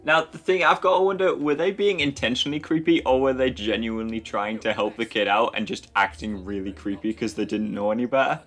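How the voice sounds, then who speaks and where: Bright-voiced man in casual banter. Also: mid-range voice, steady tone, fairly quick, few pauses, faint music behind. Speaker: co-host of a film commentary. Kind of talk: casual joking film commentary chat.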